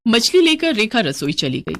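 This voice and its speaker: A woman's voice: a little high, sing-song, normal speed, a bit bright, slight background noise. A woman narrating a scripted moral story as a storyteller.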